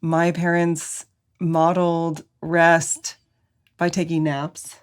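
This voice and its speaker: A woman co-hosting a podcast, telling a personal story in a gentle, hesitant way with pauses. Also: fairly low pitch, monotone, clean tone. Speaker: podcast co-host. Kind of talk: reflective conversational storytelling.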